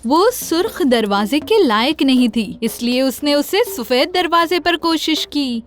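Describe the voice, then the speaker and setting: A woman narrating a scripted children's fairy tale in an expressive storytelling manner. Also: high-pitched, faint music behind.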